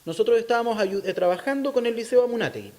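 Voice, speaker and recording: A man's voice: very high-pitched, lively intonation, fast talker, darkish tone. A man with a Chilean accent answering as an interviewee, explaining his opinions thoughtfully and often checking in with short tag questions. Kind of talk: thoughtful interview talk on local culture.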